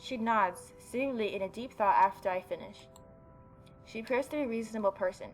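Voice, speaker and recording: A woman's voice: a little high, fairly quick, faint music behind. Chatty woman reading and reacting casually. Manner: chatty, reactive, informal asides. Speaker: let's play host. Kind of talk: reading a visual novel aloud with casual commentary.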